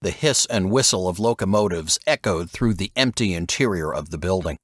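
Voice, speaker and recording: A man's voice: deep voice, expressive, fairly quick, fairly bright tone, dry recording, clean studio sound. A man reading a thriller novel aloud as scripted narration, with dramatic expression. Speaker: audiobook narrator.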